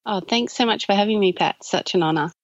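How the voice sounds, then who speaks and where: A woman's voice: medium pitch, a little fast, no echo, lo-fi sound. An Australian woman in her thirties, a podcast guest chatting casually with lots of ums and small laughs. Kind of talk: casual interview chat.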